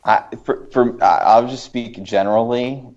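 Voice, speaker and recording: A man's voice: medium pitch, moderate pace, a little mellow. A man guesting on a casual film podcast, arguing his opinions with emphasis and repeated words. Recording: dull, lo-fi voice track.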